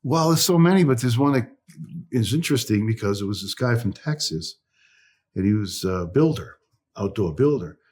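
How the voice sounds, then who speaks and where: A low-voiced man with a Boston accent speaks as an interview guest, his tone slightly husky. He tells his story in a chatty, rambling way, with plenty of asides. He sounds middle-aged. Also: animated, moderate pace, darkish tone. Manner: chatty, tells stories with quoted dialogue. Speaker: guest, company phone coach.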